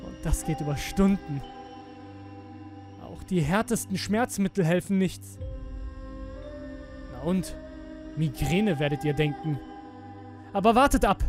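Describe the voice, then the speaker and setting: A young man acting a guilt-ridden, haunted twenty-year-old who gives a halting, emotional confession in a horror audio drama. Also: sits high, animated. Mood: desperate, haunted. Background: music with violin.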